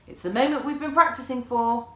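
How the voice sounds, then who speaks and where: A woman voicing a warm, over-keen mum in a children's story, with an excitable manner. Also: sits high, a little fast, voice pushed up, close to an everyday voice. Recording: echoey room.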